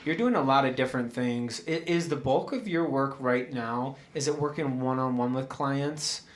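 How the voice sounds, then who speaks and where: A man hosting a podcast interview, asking questions with many pauses and restarts. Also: mid-range voice. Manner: halting, many fillers and restarts. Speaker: podcast host.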